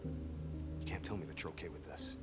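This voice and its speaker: An actor playing a bitter, world-weary veteran soldier in a dramatic military video game. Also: male voice, very deep voice, moderate pace. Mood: bitter accusation. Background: loud music.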